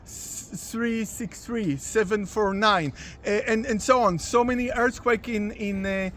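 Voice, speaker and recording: A man's voice: high voice, a little slow, a bit bright, a little hiss or hum. A man with an Israeli accent, a doctoral researcher explaining a site in casual chat, with hesitant fillers and dry humor.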